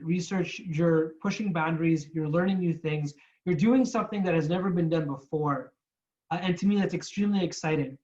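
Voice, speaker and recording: Candid young man, slightly high voice. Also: muffled recording. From Canada. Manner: frank, many restarts and repeated words. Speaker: guest speaker. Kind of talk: informal talk with slides.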